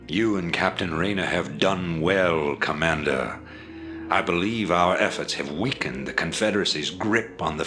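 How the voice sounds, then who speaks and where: An actor playing a stern rebel commander who gives formal, measured orders in a video game cutscene. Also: male voice, a bass voice, bright, forceful tone, exaggerated voice. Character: stern rebel leader, middle-aged man, commanding.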